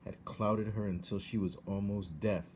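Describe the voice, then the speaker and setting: Male storybook narrator, lowish pitch, expressive read-aloud, noisy home recording. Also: moderate pace.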